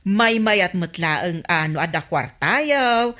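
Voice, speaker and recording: A woman's voice: on the low side, lively intonation, takes it slowly, pushed and bright, full character voice. A woman with a Filipino accent playing an envious, teasing married village woman in a radio comedy drama.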